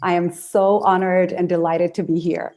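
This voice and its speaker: A woman's voice: on the low side, little pitch movement, moderate pace, mellow. Chatty woman on a video call. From Ethiopia. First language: Amharic. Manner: talkative, laughs easily, restarts sentences. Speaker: guest, singer and speaker. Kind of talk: casual, chatty interview answers.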